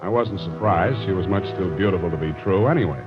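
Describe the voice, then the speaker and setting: A man's voice: low-pitched, fast talker, close to an everyday voice. Wry hard-boiled detective played by a man, laconic wisecracker, muffled 1940s radio drama with music behind. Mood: puzzled, dry. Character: wry hard-boiled male private detective, smitten, unruffled. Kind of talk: 1940s radio detective acting, narration and dialogue.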